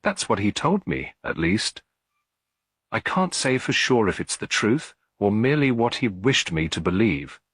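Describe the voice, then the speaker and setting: A man's voice: fairly low pitch, very animated, unhurried, fairly bright tone, slightly rough, dry recording, studio quality, voice pushed up, full character voice. A man voicing an unhappy younger woman in a detective story, speaking in a subdued, hesitant, confessional manner. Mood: bitter, resigned. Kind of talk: detective story character reading.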